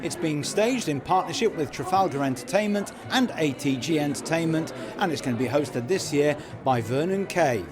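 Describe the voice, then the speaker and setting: A man's voice: a little high, animated, moderate pace, few pauses, music in the background. A man hosting a podcast and interviewing a guest on location at an awards event. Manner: upbeat, chatty presenter. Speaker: podcast host.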